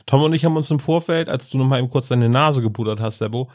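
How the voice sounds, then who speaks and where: A man's voice: mid-range voice, fast, a little mellow, dry recording, studio quality. A man co-hosting a podcast in casual chat, playful and often chuckling. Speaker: podcast co-host. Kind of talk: casual chat reviewing an audio drama.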